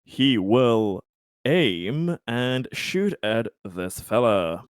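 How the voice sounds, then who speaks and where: A man's voice: medium pitch, sing-song, extremely slow, smooth, dry recording, clean studio sound. A man chatting casually as a player in a tabletop game, with a joking, wry manner.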